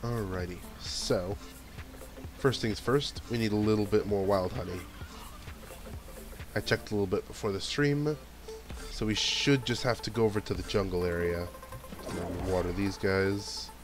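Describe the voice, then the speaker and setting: Man, lowish voice, stuffy nose, chatty gameplay commentary, game music under voice. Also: unhurried, pauses often. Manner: chatty, thinks aloud while playing. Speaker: let's play gamer. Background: game background music.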